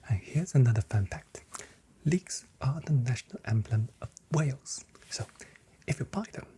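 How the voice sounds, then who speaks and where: A soft-spoken, whispery ASMR creator role-playing a grocery shop assistant with gentle, chatty sales patter. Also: mid-range voice, a little hiss or hum, poor audio. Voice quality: breathy, whispery.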